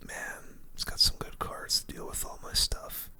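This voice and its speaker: A person giving casual, rambling game commentary in a breathy, unvoiced ASMR whisper, close to the microphone. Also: unhurried, bright, forceful tone. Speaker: gaming video host.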